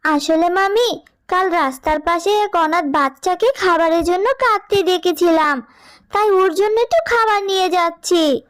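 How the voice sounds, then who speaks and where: A woman voicing a kind, gentle young village girl in a cartoon, earnest and soft-spoken. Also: a little slow, no room sound, studio quality. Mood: nervous. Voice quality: very high, childlike. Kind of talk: cartoon dubbing.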